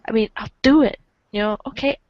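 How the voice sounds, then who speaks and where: A woman's voice: fairly high pitch, brisk, dry recording, muffled recording, natural voice. A woman voicing a cool, cocky, foul-mouthed teenage boy, wry and rambling, in an amateur webcomic reading. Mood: cocky, teasing. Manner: wry, rambling, casual swearing.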